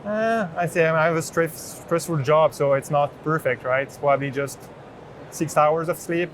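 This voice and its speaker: Higher-voiced Frenchman at a noisy expo. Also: moderate pace. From France. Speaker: chief product officer demonstrating a product. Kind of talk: unscripted product demo. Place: open booth in large indoor exhibition hall. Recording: noisy trade show hall background.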